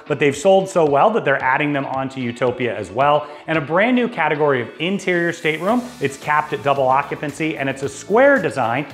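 Upbeat man pitching cruises to camera. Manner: upbeat, salesy, personal asides. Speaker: travel agency host. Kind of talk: enthusiastic cruise overview to camera. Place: dark studio backdrop, indoors. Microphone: lapel mic. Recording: faint background music.

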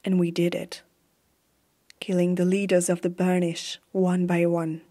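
Woman acting a slow, husky, haunted evil empress. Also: lowish voice, completely flat delivery. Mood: grave, bitter. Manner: slow, hushed, deliberate dramatic delivery. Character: evil warrior empress, stern, haunted, zealous ruler. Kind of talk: fantasy ASMR roleplay.

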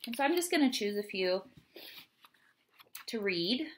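A woman in her thirties reading children's poems aloud and chatting casually, in an unhurried, deliberate way. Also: mid-range voice, big pitch swings, slight background noise. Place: small home room, indoors. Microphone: webcam or laptop mic at desk distance.

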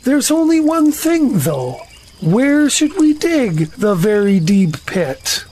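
A woman playing a slow, dreamy, honey-loving bear in an audio drama, musing and ponderous. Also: a little high, sing-song, mellow, a little hiss or hum. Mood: pondering.

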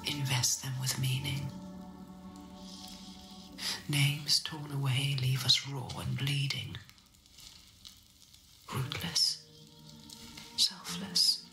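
A woman voicing an eerie spirit in a video game, whispering breathily in hushed, ominous fragments. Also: a bass voice, little pitch movement, very slow, long pauses, full character voice. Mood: haunting, ominous. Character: eerie whispering female spirit voices in a warrior's head. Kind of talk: dramatic video game voice acting. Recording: echoey, music underneath. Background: music.

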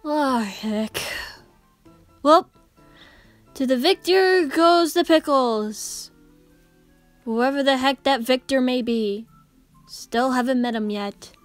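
Female actor as friendly, laid-back animatronic bear; slow, bright delivery over faint music, comic dub. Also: high-pitched, dry recording. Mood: resigned.

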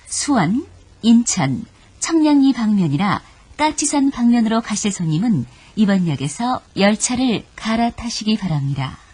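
A woman reading scripted subway announcements in a formal, measured manner. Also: mid-range voice, very animated, a little mellow, breathy edge.

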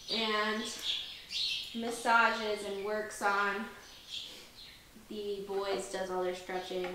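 A young woman vlogger calmly explaining horse stretches as she works with the horse in an indoor barn stall. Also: a little high, slight background noise. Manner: calm, gentle and instructive while stretching a horse. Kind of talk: explaining while working. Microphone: far camera. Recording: echoey barn with distant mic and music.

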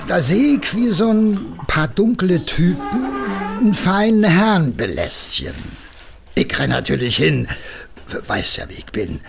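An actor playing a cheerful, boastful, good-natured working-class husband with a Berlin accent, colloquial and wheedling, in an audio drama. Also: male voice, on the high side, creaky, noisy background, full character voice. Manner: colloquial, wheedling, hesitant storytelling. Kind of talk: German audio drama acting.

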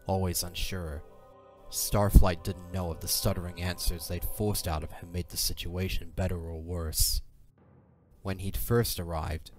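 A man narrating a scripted, dramatic fantasy story as the narrator of an audio drama. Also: low-pitched. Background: music.

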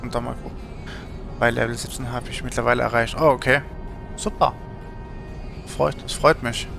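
A man's voice: mid-range voice, steady tone, moderate pace, gravelly, music in the background. A man in his thirties from Germany, chatting casually on a gaming livestream in a rambling, colloquial way, thinking aloud. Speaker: streamer.

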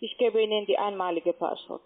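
A woman with a foreign accent, not a native German speaker, giving formal, halting instructions as a call-centre agent. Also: medium pitch, fairly even intonation. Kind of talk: phone instructions. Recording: muffled, tinny phone line.

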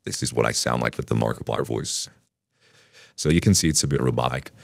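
A man's voice: extremely low pitch, brisk, creaky, no room sound, clean studio sound, pitched below the performer's own voice. A man speaking through an AI voice changer as a slightly robotic male internet personality, casually demonstrating it. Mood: even, explanatory. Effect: real-time AI voice changer, slightly robotic.

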